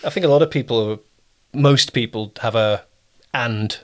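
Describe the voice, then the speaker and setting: A British man talking as a casual interviewer, encouraging, often halting and circling round his point. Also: medium pitch, a bit bright. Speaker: interviewer, producer.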